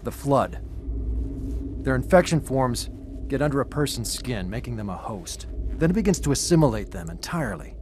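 An actor playing a desperate, self-justifying military research scientist in a science fiction motion comic, speaking in intense, clipped explanations. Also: male voice, medium pitch, big pitch swings, normal speed, darkish tone, a little hiss or hum, natural voice. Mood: grim, explanatory. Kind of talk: dramatic motion comic acting.

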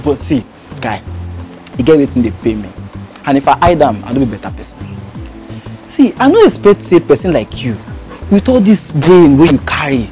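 Blunt Nigerian man, played by an actor, scolding an old friend in Pidgin; very lively pitch, faint music behind. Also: normal speed. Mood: scornful. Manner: animated, badgering, repetitive. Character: blunt, exasperated male friend scolding his old pal. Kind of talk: Nigerian Pidgin film dialogue.

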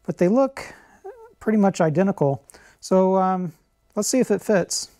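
A middle-aged man hosting a how-to repair video in his workshop garage, talking in a relaxed, explanatory way with lots of "uh" and "um," on a lapel mic. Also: sits high, normal speed. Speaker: channel host.

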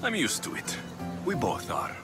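A man's voice: medium pitch, huge pitch swings, normal speed. A man playing a stoic, determined Greek mercenary in his thirties, terse and brooding, in a video game. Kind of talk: dramatic video game acting. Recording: music behind.

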